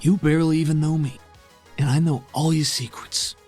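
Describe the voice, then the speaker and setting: A man voicing a menacing, sardonic trickster in a tabletop game, drawing out folksy taunts in a husky voice. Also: on the high side, steady tone, moderate pace, a little mellow, faint music behind, voice pushed up. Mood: menacing, taunting.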